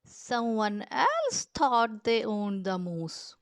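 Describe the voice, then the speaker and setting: Female storybook narrator, unhurried and expressive, some creak in the voice, close dry sound. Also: a little high, no room sound. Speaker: children's picture book reader. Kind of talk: storybook read-aloud narration.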